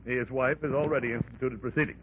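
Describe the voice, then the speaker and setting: An actor playing a brusque, self-important insurance company boss in a 1940s radio drama. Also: male voice, mid-range voice, fairly even intonation, fast talker, narrow, tinny audio, close to an everyday voice. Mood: businesslike. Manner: brusque, pompous, hesitant when needled. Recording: band-limited, noisy 1940s radio recording.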